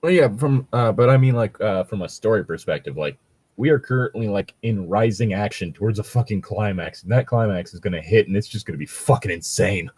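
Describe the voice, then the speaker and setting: Husky man in casual, sweary banter. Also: on the low side, lively intonation, fairly quick, a little mellow, no room sound. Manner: swears freely, rambling.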